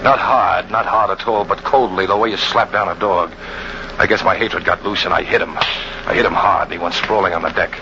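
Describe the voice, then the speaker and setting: A low-voiced, bitter hunting guide narrates in tough, colloquial radio-drama style. He broods like a hardened fugitive. The old recording is muffled and very noisy. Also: steady tone, brisk. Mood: grim. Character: bitter hard-boiled jungle hunting guide, fugitive, confessing his hatred.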